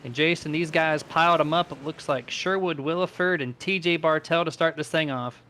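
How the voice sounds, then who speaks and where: A man's voice: a little high, moderate pace, pushed and bright. A man doing live play-by-play commentary on a sim race in a casual, conversational manner.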